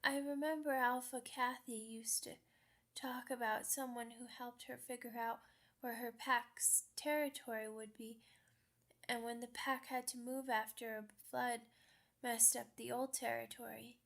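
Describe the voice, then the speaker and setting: A woman's voice: on the high side, steady tone, measured pace, gravelly, fairly bright tone. A woman voicing a sad, earnest young female werewolf pup in an ASMR roleplay, with hesitant, childlike phrasing. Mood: sleepy, hopeful.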